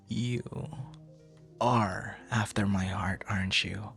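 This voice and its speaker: A man playing a flustered, smitten young suitor in an intimate ASMR boyfriend roleplay, speaking in halting, tender murmurs. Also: on the low side, vocal fry, a bit bright, full character voice. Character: smitten, flustered young male stranger turned romantic suitor.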